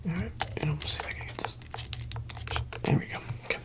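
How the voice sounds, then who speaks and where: A softly whispering ASMR performer of unclear sex rambles haltingly and casually in a roleplay as a laid-back handler, slipping between breathy whisper and low murmur. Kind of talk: ASMR roleplay, soft improvised monologue.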